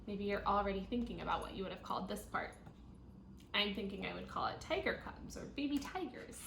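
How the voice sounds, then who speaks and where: A woman teacher reading a children's nonfiction book aloud, thinking out loud and guiding young listeners as she goes. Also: medium pitch, animated, brisk, creaky. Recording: roomy echo, audible background noise.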